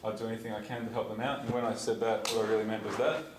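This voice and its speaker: A man's voice: fairly low pitch, little pitch movement, rapid-fire. A young man from Perth with an Australian accent, giving an informal, rambling talk to a conference audience in a lecture theatre, with many ums and restarts. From Perth, Western Australia. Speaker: student speaker at a conference. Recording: echoey hall, distant, noisy.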